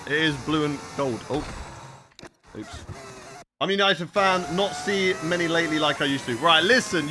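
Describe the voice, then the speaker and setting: A man's voice: sits high, background noise. A young man casually chatting with viewers while he plays a racing game on a live stream.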